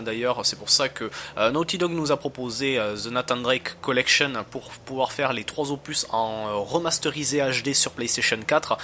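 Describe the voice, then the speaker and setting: A man giving casual, halting commentary as he plays and reviews a game. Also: medium pitch, bright, forceful tone, a little hiss or hum. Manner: hesitant, halting.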